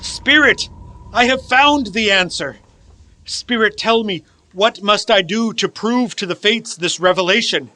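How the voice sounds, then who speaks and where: A man's voice: sits high, measured pace, bright, forceful tone. A man in a radio play playing a desperate old miser who pleads earnestly and formally for redemption. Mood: earnest, urgent. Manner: earnest, imploring, formal Victorian phrasing. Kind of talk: theatrical radio play acting.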